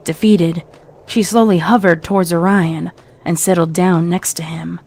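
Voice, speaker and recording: A woman reading scripted story narration in a measured, dramatic way. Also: fairly low pitch, steady tone, a little mellow.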